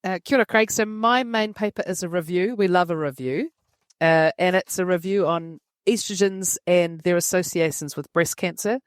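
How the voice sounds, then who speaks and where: A middle-aged woman co-hosting a medical podcast, upbeat and quick to laugh. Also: lowish voice, no echo, clean studio sound. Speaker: oncologist co-host. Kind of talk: casual chat.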